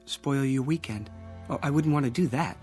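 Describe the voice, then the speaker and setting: An actor playing a timid, meticulous bank clerk in a radio drama, hesitant, stammering and deferential. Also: male voice, mid-range voice, moderate pace, darkish tone, faint music behind, lightly acted. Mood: meek, startled.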